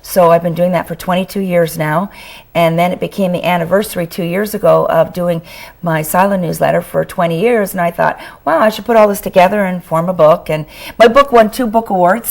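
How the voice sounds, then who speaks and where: Canadian woman guest with a hoarse voice. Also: fairly low pitch, a little mellow. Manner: enthusiastic, chatty. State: losing her voice. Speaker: author guest. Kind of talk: talk show interview. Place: TV studio.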